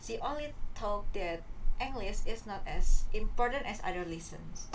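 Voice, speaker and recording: Young Indonesian woman, curious, halting English, distant mic with light hiss. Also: mid-range voice, lively intonation. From West Kalimantan, Indonesia. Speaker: student podcast host. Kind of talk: casual chat. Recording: distant mic with faint noise.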